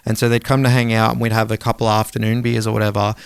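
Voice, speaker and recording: A man in his thirties co-hosting a podcast, telling stories in casual, animated talk with loose swearing. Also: fairly low pitch, rapid-fire, vocal fry, clean tone, no echo, studio quality. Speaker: podcast co-host.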